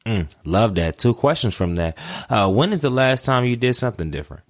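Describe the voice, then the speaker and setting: A man's voice: lowish voice, expressive, brisk. A man hosting a podcast interview, playful and rambling, laughing often as he talks. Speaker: podcast host.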